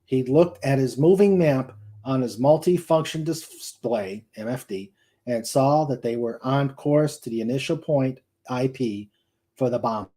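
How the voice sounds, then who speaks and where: Male reader for dramatic novel narration, soft-edged mellow tone, mid pitch, careful delivery. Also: measured pace. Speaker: host reading a novel aloud. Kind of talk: dramatic audiobook-style narration.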